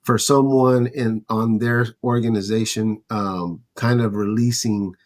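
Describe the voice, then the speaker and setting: A man's voice: on the low side, fairly even intonation, a little slow, a little mellow. Casual male sports podcast co-host.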